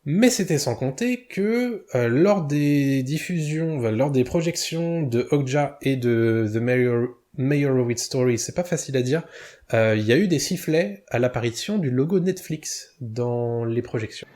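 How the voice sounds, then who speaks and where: A man's voice: medium pitch, expressive, measured pace, dark tone. A man commenting casually to his viewers, full of hesitations and self-corrections, with chuckles at his own mispronunciations. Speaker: video commentator.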